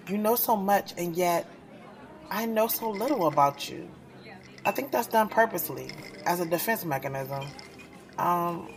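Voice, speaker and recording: A woman's voice: on the low side, natural voice. An actress playing a guarded, skeptical young woman poet in an audio drama, speaking in a candid, conversational, street-smart way. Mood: searching, doubtful. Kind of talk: audio drama dialogue and first-person narration. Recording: music playing behind the voice.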